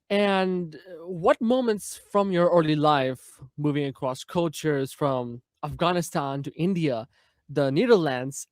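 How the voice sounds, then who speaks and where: A man's voice: on the high side, no echo. A man hosting an interview show, asking questions hesitantly with many fillers. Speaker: talk show host.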